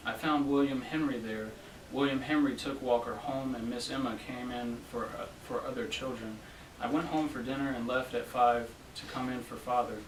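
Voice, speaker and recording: A young man reads an old love letter aloud as a student reader. His voice sits at a middle pitch. The sound is echoey and distant, with faint noise in the room. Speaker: student reader at a library event. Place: library room, reading to a small gathering. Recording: roomy, distant event recording with faint noise.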